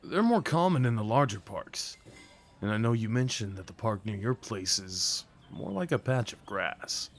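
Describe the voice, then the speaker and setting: A man's voice: lowish voice, expressive, normal speed. A young man acting a shy, sweet, apologetic date in an ASMR roleplay, talking hesitantly to the listener. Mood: flustered, apologetic. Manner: hesitant, apologetic, rambling, sighs and nervous chuckles.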